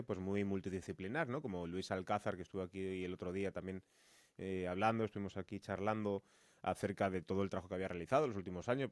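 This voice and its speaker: A man's voice: low-pitched, no echo, studio quality. A man co-hosting a podcast, chatting casually in a wordy, roundabout way. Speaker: podcast co-host. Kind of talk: casual interview conversation.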